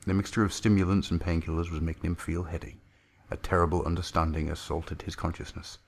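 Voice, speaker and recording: A male audiobook narrator with a deep voice and a frayed, creaky edge. He reads dark science-fiction horror in a grave and theatrical manner. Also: flat delivery, fast.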